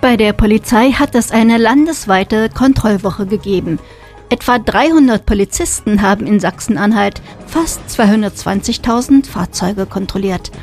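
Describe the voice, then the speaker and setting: A woman's voice: medium pitch, moderate pace, darkish tone, soft background music. A woman presenting radio news in a studio and interviewing a new volunteer in a friendly way.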